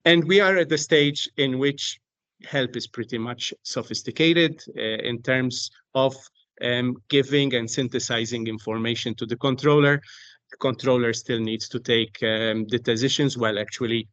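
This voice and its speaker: Man, low-pitched and close-sounding, deliberate and halting technical explainer, repeats words mid-phrase. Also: a bit bright, no room sound, highs cut off. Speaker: aviation technology company expert, interview guest. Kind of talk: explanatory interview talk on a technical subject.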